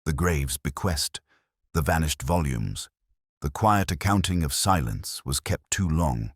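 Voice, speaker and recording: Guy with a super deep voice reading a detective story, measured and reflective, lots of rise and fall. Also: lively intonation, slightly rough, dry recording, clean studio sound. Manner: measured, literary, reflective delivery. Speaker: narrator. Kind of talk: first-person scripted detective story narration.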